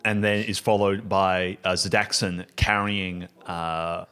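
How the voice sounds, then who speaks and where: Male game master, lowish voice, halting comic improvised narration over soft music. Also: flat delivery, clear, resonant tone.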